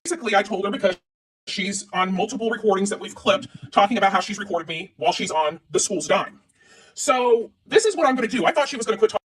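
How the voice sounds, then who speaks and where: A woman's voice: medium pitch, husky, low-quality recording. A woman in a played clip, venting in an aggrieved monologue. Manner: aggrieved, fast, talks at length.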